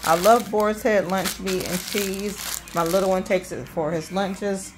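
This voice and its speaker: Woman host, middle-aged, casual budget vlogger; quick, chatty, filler-heavy delivery into a phone at her kitchen counter. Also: mid-range voice, fairly even intonation, noisy background. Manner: chatty, many ums, talks fast. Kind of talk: casual grocery haul vlog. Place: indoors at a home kitchen counter. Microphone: handheld phone filming items.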